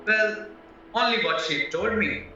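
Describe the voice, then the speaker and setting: A man's voice: sits high, measured pace, sharp tone, full character voice. A man playing a naive, chatty, polite young husband under suspicion in an audio play, earnestly explaining himself. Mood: candid, chatty. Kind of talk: stage-style audio play acting. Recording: very echoey, distant, muffled, music behind.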